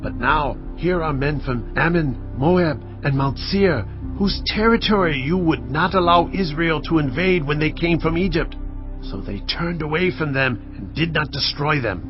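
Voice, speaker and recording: A man's voice: on the high side, animated, normal speed, close to an everyday voice. A man playing a solemn commanding king. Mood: pleading prayer. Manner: solemn, commanding, pleading in prayer. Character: King Jehoshaphat, earnest man leading his people. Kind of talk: dramatised scripture reading. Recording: slightly muffled recording with faint music.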